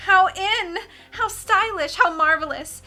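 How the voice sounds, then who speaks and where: A woman's voice: slow pace, bright, forceful tone, soft background music, heavily acted. A woman voicing a vain, flamboyant villainous woman who shrieks and gushes theatrically. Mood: astonished, gushing. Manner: shrill, gushing, theatrical.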